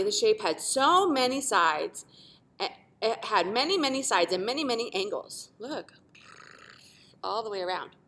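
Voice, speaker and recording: A woman's voice: fairly high pitch, a bit bright. A woman reading a children's picture book aloud like a teacher, with dramatic emphasis and asides to young listeners. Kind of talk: expressive children's storybook read-aloud.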